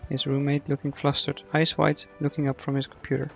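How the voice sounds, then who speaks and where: Gravelly male radio play narrator. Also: a little high, monotone, a little fast, dull audio, faint music behind.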